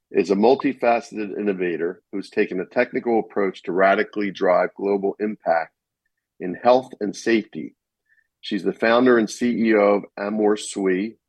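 Very deep-voiced husky male host. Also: darkish tone, dry recording, rough recording. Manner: friendly, rambling questions with restarts. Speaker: podcast host. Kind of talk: interview hosting.

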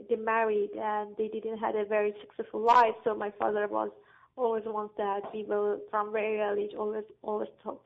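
A woman from Afghanistan speaks in a flat, even voice, pausing often and searching for her words in English. Her voice comes through a thin, phone-like line. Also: medium pitch, gravelly. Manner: halting, searching for words, frequent fillers. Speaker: guest. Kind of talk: interview answers.

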